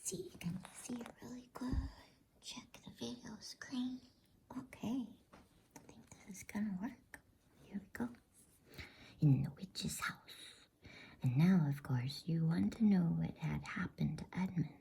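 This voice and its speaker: A woman whispering softly in a gentle, unhurried, hushed ASMR style as she hosts and reads a bedtime story. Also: mid-range voice, a little hiss or hum. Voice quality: breathy, soft whisper. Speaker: host and reader of a bedtime story channel.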